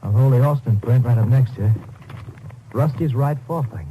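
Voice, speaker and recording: An absorbed investigator, played by a man, with a very dark, mellow tone and middle pitch. He speaks haltingly and intently in 1950s radio drama style, on a faintly noisy old recording. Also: close to an everyday voice. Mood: excited, intent. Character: intent male forensic investigator examining evidence.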